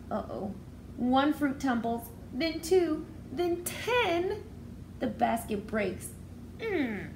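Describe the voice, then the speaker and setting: A woman teacher reading a story aloud to young children, expressively, pausing to explain things in simple words. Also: fairly high pitch, noisy background. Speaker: preschool educator.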